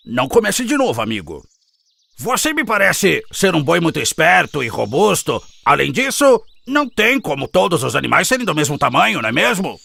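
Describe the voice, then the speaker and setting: A man's voice: on the high side, sing-song, fairly quick, creaky, pushed and bright, dry recording, full character voice. A man voicing a kindly, sensible farm dog in a children's cartoon fable, patient and reassuring.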